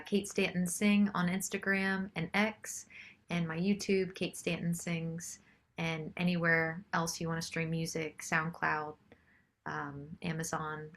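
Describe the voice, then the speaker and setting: A woman's voice: on the low side, a bit bright. A woman singer-songwriter chatting casually as an interview guest.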